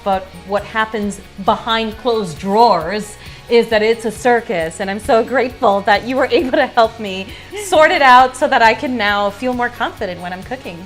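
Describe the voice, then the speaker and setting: A woman's voice: on the high side, normal speed. Effusive woman chatting on a lifestyle show. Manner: effusive, expressive. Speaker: cooking show host. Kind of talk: casual lifestyle show chat. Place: home kitchen, indoors. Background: background music.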